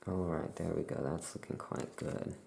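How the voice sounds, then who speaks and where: A soft-spoken ASMR host musing in a hushed, self-deprecating way while chatting through a craft task. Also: a bass voice, fairly even intonation, normal speed, creaky, slight background noise.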